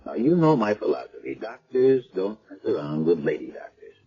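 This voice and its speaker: Deep, husky, world-weary male doctor. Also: big pitch swings, moderate pace, faint music behind, full character voice. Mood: weary, dry. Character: world-weary, gruff but kindly male senior doctor. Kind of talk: 1970s radio drama acting. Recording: dull lo-fi old radio broadcast.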